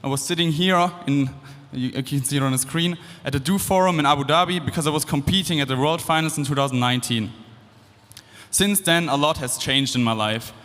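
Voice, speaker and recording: A young man with a German accent speaks to a hall full of students in a casual, upbeat way, with loose fillers and restarts. His voice sits a little high for a man. Long reverb from the big hall trails behind each phrase. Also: fairly quick, a bit bright. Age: 20s. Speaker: guest speaker. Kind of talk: stage talk to students. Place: large hall.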